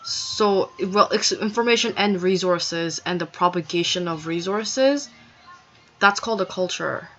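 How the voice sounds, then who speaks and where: A woman's voice: lowish voice, measured pace, fairly bright tone. Rambling woman on a dull lo-fi recording. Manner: rambling, digressive, frequent fillers and restarts. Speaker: vlogger. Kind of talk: casual explanatory monologue.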